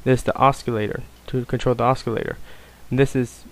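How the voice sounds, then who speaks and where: A man giving a casual, unscripted software tutorial, explaining things informally step by step. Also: medium pitch, steady tone, quick pace, vocal fry. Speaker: tutorial presenter.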